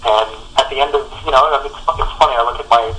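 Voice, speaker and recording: A man's voice: mid-range voice, fast, bright, forceful tone, poor audio. A man, a comic book artist, answering interview questions reflectively, searching for his words.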